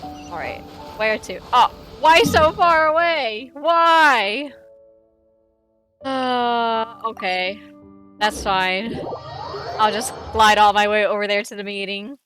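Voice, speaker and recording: A woman's voice: on the high side, takes it slowly, pauses often, a bit bright. A woman doing casual live gameplay commentary, talking to herself and playfully reacting aloud as she streams. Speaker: game livestreamer. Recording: game music under commentary.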